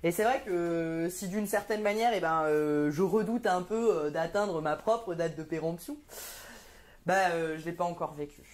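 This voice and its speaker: A woman's voice: fairly low pitch, moderate pace, darkish tone. A woman in her thirties performing a stand-up comedy monologue, wry and sardonic, with frequent hesitant fillers. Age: 30s.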